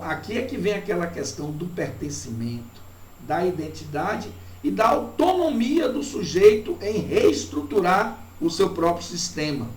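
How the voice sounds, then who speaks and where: A man from Bahia with a Bahian Brazilian accent giving a didactic, reflective academic lecture, often checking in with short tag questions. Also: fairly high pitch. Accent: Bahian Brazilian Portuguese. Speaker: university professor. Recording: roomy, distant mic with faint noise.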